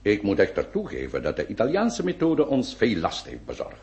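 A man's voice: on the low side, wildly swooping pitch, darkish tone, dull audio. A man acting in a radio play as a self-assured, vain nineteenth-century surgeon who speaks in a formal, pedantic, soothing way. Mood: matter-of-fact. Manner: pompous, formal, deliberate emphasis. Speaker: radio drama actor. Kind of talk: theatrical Dutch-language radio play acting.

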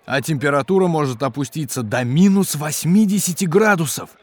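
An actor playing a hospitable, jolly geologist and cook at a polar station in a children's educational audio drama, with an animated, explanatory way of talking. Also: male voice, a little high, brisk, no echo. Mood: enthusiastic explaining. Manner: animated, chuckling, explanatory, hearty.

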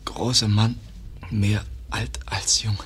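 A man's voice: lowish voice, level tone, slow pace, fairly bright tone, a little hiss or hum. An actor playing a stabbed young man in a radio play, weak and whispering in broken, clipped sentences. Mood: weak, faltering. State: weak from a stab wound, breathy whisper. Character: wounded young man Kaspar, weak, halting.

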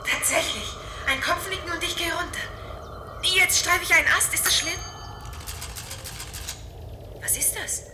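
A woman's voice: extremely high pitch, a little slow, pauses often, noisy background. An actress playing a curious, spirited yet wary female companion in a German radio play.

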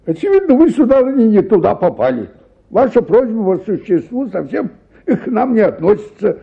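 A man's voice: high voice, sing-song, normal speed, gravelly, slightly rough, muffled recording, full character voice. An actor playing an exhausted, ailing and irritable bank official in a theatrical radio play, groaning and sighing as he talks. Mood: exasperated.

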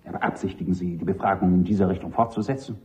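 A man's voice: sits low, fairly even intonation, fast talker, darkish tone, lightly acted. Man as a formal military judge, echoey courtroom. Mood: formal, stern. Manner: formal, procedural, authoritative. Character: official presiding military judge of a court-martial. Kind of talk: radio drama acting. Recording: echoey, noisy, muffled old radio recording.

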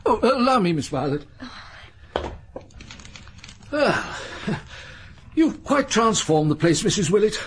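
A clipped, bluff old army major. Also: male voice, high voice, big pitch swings, slow pace, long pauses, slight background noise, heavily acted. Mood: hearty. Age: older. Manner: clipped, terse upper-class speech. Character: bluff older army major, hearty and blunt. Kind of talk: 1940s-style BBC radio acting.

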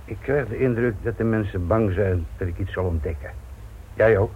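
A man's voice: on the low side, normal speed, a little hiss or hum, close to an everyday voice. An actor playing a wry, teasing middle-aged police inspector in a Dutch radio play, nonchalant and colloquial in his talk. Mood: probing, wry. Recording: muffled phone-like old radio recording.

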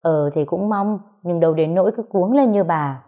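A woman's voice: lowish voice, normal speed, highs cut off, close to an everyday voice. A woman voicing a proud, hot-tempered older father who scolds his son harshly in an audio story. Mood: calm reproach.

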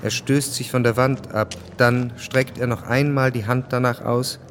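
A man narrating a historical radio drama in a measured, sober manner. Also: mid-range voice, steady tone, background noise, close to an everyday voice. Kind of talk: scripted narration.

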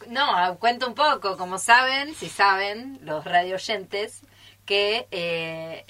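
Woman, mid-pitched, very bright voice; informal radio guest who explains and seeks agreement. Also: slow. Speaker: radio drama troupe member, guest. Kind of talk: spontaneous group interview chat.

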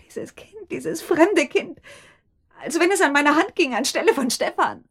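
An actress playing an anxious, shaken wife and mother in a radio drama, breathy and husky, halting and repeating her words with intensity. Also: high voice, lively intonation, fairly bright tone. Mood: horrified, agitated.

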